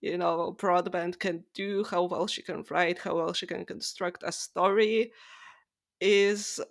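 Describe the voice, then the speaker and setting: A woman's voice: lowish voice, fairly even intonation, takes it slowly, gravelly. A woman co-hosting a book podcast in casual chat, earnest and opinionated with informal phrasing. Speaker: podcast co-host.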